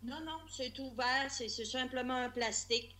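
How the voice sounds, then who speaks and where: A woman's voice: high-pitched, fairly even intonation, moderate pace, bright, forceful tone. A woman from Quebec with a Québécois accent, chatting casually as a guest with many fillers. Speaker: guest on a call-in. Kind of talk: casual explanation.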